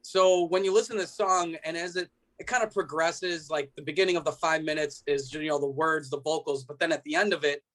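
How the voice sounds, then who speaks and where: A man's voice: fairly high pitch, fairly even intonation, a little fast, few pauses, fairly bright tone, rough recording. A man from the United States, a musician being interviewed, explaining things in an earnest, conversational way. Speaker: band member being interviewed. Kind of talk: casual explanatory interview chat. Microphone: video call.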